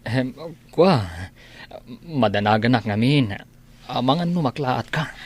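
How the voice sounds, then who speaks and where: A man's voice: medium pitch, lively intonation, darkish tone. Man playing a hesitant, concerned friend. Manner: hesitant, halting. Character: hesitant, concerned male friend breaking bad news gently. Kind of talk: radio drama acting.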